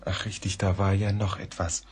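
An actor playing a grave, reflective gentleman who narrates a German radio play in a measured, often hushed way. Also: low voice, little pitch movement, husky. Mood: reflective, sombre. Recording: faint background noise.

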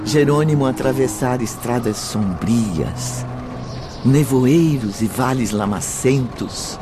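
A man's voice: medium pitch, takes it slowly. Male radio drama narrator over music. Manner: formal, storytelling.

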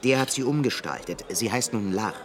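An actor playing a pushy, ambitious young intellectual in a German radio drama, brash and persuasive. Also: male voice, on the low side, lively intonation, moderate pace, noisy background, natural voice. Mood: scheming. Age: young adult.

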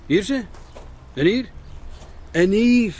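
Self-satisfied Flemish policeman, higher-pitched, very animated, eager, crime drama. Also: slow, heavily acted. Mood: eager, smug.

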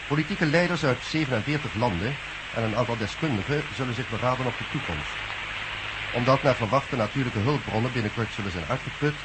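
A man acting a formal radio newsreader, measured and impersonal. His pitch is middling, and loud noise runs behind his voice. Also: close to an everyday voice. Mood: formal, neutral. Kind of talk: scripted radio news reading.

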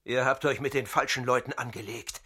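An arrogant, bright-voiced captive. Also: male voice, mid-range voice, steady tone, fairly quick, slightly rough. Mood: threatening. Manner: haughty threatening. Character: arrogant male captive making threats. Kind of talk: radio drama acting.

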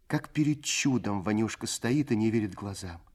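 An actor narrating a Soviet radio play in a lyrical, literary way, with measured, expressive phrasing. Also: male voice, lowish voice, slightly rough. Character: male storyteller, lyrical and unhurried.

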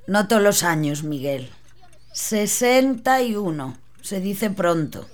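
A woman's voice: mid-range voice, lively intonation, slow. An actress playing a weary older working-class woman who sews for her masters, talking tenderly with her husband in a radio drama. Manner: tired, sighing, tender. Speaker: servant seamstress.

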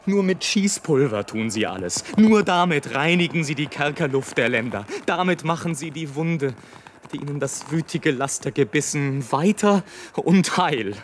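An actor playing an anguished, visionary balloonist in a literary radio play, declaiming a solemn dramatic monologue. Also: male voice, on the high side, lively intonation, moderate pace, a little hiss or hum, lightly acted. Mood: anguished, bitter. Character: anguished, visionary balloonist narrating war below, bitter and rapturous.

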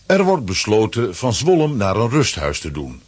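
A man with a Flemish accent and a husky voice, narrating a children's adventure as a scripted audio drama. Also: lowish voice, wildly swooping pitch, moderate pace, no room sound, exaggerated voice. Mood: suspenseful.

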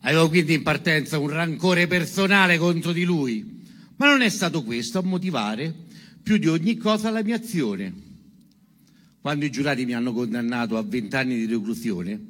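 A man giving a dramatic, declaimed reading of a statement in emphatic, measured phrases. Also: a little high, animated, a bit bright. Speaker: performer reading a testimony. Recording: long reverberant hall echo.

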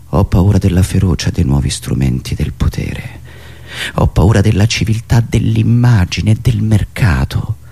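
A man delivering a theatrical political monologue in a dramatic, emphatic manner, with deliberate pauses. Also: mid-range voice, gravelly, darkish tone, breathy edge. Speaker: satirical stage performer and radio host.

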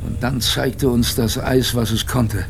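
Radio drama narrator: young polar crewman, storytelling in measured phrases, gravelly edge, audible background noise. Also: medium pitch, fairly even intonation. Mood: grave, dramatic. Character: young male ship's crewman recounting a polar expedition. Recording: clear background noise, ambience.

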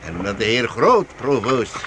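A man's voice: medium pitch, sing-song, moderate pace, pushed and bright, slight background noise. A man acting in a Dutch radio play as a streetwise medieval vagabond, wry and matter-of-fact. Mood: wry, explaining.

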